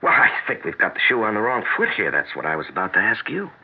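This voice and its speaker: Gravelly, genial husband on old radio. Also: male voice, lowish voice, very animated, fast talker, heavily acted. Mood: careful, probing. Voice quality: gravelly, husky. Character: genial, concerned husband hosting dinner, gently probing. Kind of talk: 1970s radio drama acting. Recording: narrow-band 1970s radio broadcast.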